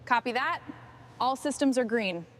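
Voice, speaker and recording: Brisk woman issuing workshop commands. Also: a little high, expressive, fairly bright tone. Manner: crisp, businesslike commands. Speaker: restoration supervisor. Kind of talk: scripted workshop dialogue.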